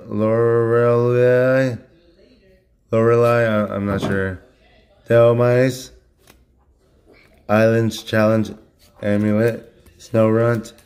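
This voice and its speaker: A hoarse man, his voice giving out from illness, talks at a table at home in a flat, monotone way. He stops and restarts often, filling gaps with "uh" and "you know", in a casual home unboxing chat. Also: mid-range voice. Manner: halting, many fillers and restarts, monotone. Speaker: card-opening channel host.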